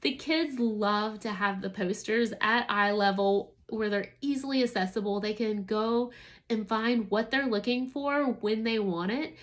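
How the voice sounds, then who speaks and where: A woman's voice: medium pitch, measured pace. A woman, a homeschooling mother, giving an enthusiastic, casual product review in a talking-to-camera style.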